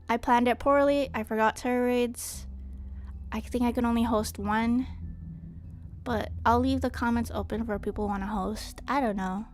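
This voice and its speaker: A congested woman streamer chatting casually and rambling to her viewers. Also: on the high side. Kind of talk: casual live stream chat.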